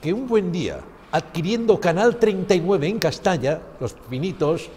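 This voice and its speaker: Male TV presenter, 60s, Spain accent, high voice, emphatic point-by-point delivery, long reverb. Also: big pitch swings, normal speed, a little mellow, slight background noise. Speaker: television presenter. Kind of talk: studio conversation with a reporter. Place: indoor TV studio.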